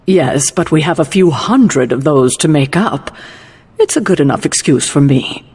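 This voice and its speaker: Husky fatherly mentor, reverberant. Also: a little high, animated, measured pace, darkish tone, exaggerated voice. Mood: fond, gentle. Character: gentle, fatherly male mentor, affectionate and mischievous. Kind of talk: video game cutscene acting. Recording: long hall-like reverb.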